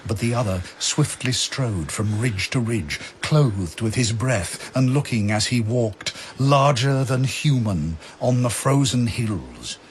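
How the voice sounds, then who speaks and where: A man narrating dramatic verse with grave, measured, theatrical phrasing. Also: mid-range voice, lively intonation, a little hiss or hum. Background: faint music.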